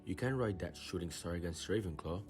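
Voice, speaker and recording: A deep young sports captain, played by a man, with faint music behind. He is earnest and excitable, a sports-obsessed teenager in an audio drama. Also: fairly quick, lightly acted. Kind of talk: fan audio drama acting.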